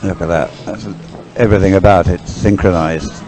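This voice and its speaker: A man's voice: deep voice, fairly even intonation, normal speed, a little mellow, soft background music, rough recording. An older man giving unscripted live race commentary in a hesitant, musing, explanatory way.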